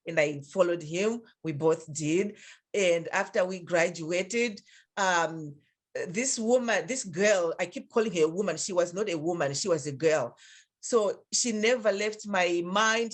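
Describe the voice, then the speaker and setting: A middle-aged woman with a Zimbabwean accent, a guest in an interview, telling a personal story in an earnest, reflective, unhurried way. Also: fairly low pitch. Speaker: interview guest, nonprofit founder.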